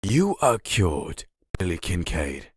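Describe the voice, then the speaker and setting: A man plays a comic-book institute doctor, deep and unhurried. He sounds formal and condescending, with lively rises and falls in a dry, close recording. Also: husky, no room sound, full character voice. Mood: formal, reassuring. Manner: measured, formal, patronising. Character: formal, smooth-talking, satisfied male institute doctor. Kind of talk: comic dub acting.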